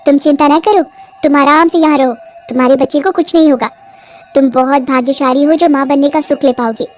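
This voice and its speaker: A woman voicing a good-hearted, caring village wife with a gentle, expressive cartoon delivery. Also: sits high, fairly quick, lightly acted. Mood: comforting. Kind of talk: Hindi horror cartoon voice acting.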